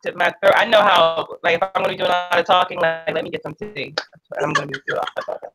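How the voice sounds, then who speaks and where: A young adult woman author with a cold, raspy, chatting casually on a video call with lots of fillers. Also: on the low side, fairly even intonation, racing, music in the background. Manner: casual, many fillers like "like" and "you know". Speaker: author, guest.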